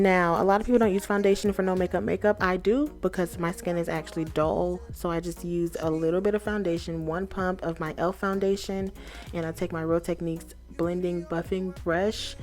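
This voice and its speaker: Woman, beauty vlogger, slightly low voice, upbeat and chatty tutorial delivery. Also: a little mellow. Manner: chatty, upbeat, talks straight to viewers. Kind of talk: casual makeup tutorial.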